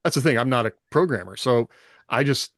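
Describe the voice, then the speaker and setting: A man talks in a casual, musing way, as if working ideas out while he speaks. His pitch sits in the middle for a man. The sound is dry, with next to no room on it. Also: lively intonation, a little fast, dry recording. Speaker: podcast co-host. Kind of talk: casual chat about science fiction.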